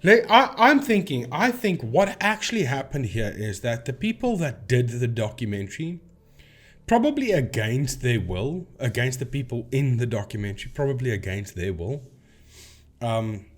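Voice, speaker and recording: A man's voice: mid-range voice, big pitch swings, moderate pace. Casual male video host, thinking aloud. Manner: informal, thinking aloud, addresses viewers directly. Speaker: video host, paranormal debunker. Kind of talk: casual explainer with audio-editing demonstration.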